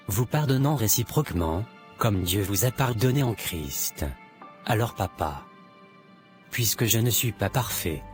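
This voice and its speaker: A man playing a devout, forgiving adult son in a cartoon, speaking earnestly and deliberately, a little preachy. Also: on the low side. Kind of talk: dubbed cartoon dialogue. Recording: music under the voice.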